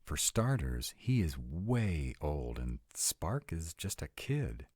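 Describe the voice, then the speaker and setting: A man performs a sarcastic male vampire in an intimate roleplay audio, with an extremely low, husky voice. He talks expressively and conversationally, an anxious immortal who still sounds young at heart, his pitch swinging widely. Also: sing-song, slow, a little mellow, no room sound, clean studio sound, heavily acted. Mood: sardonic, musing. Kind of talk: intimate one-sided roleplay audio acting.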